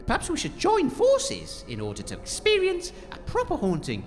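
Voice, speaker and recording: A man plays an eager, excitable ghost-tour radio host in an audio comedy. The voice is high and swoops about animatedly, set in a reverberant hall with faint noise. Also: normal speed, faint music behind, exaggerated voice. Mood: placating. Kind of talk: comedy audio drama acting.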